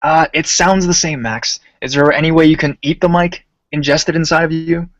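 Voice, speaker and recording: A man hosting a voice chat in a relaxed, slangy way, calling the guests "bro" and pressing them with questions. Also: on the high side, normal speed, lo-fi sound, a little hiss or hum. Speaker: host.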